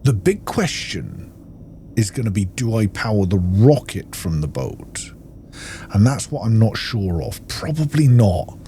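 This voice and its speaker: A man's voice: lowish voice, sing-song, a little slow, mellow, slight background noise. A man giving casual gameplay commentary, thinking aloud in an informal way. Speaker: gaming video host.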